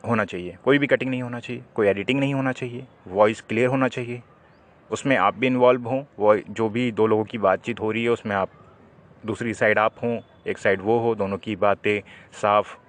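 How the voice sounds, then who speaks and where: A man's voice: mid-range voice, moderate pace. Man explaining law. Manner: didactic, poses questions then answers them. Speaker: legal explainer, presenter. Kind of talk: explanatory legal talk to viewers.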